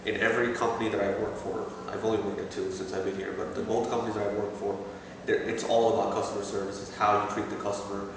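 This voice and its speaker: A man in his thirties from Pakistan, answering interview questions with many pauses and restarts. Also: fairly low pitch, flat delivery, distant mic. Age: 30s. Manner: hesitant, many fillers and restarts. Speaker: interviewee, assistant store manager. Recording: echoey room, voice from afar, faint noise.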